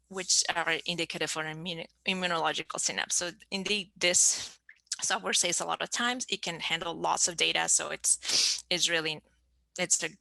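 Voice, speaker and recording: A woman scientist in her thirties from Peru, giving an online science talk in a halting way with many fillers and restarts. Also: lowish voice, creaky, pushed and bright, dry recording, low-quality recording. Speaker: scientist presenter.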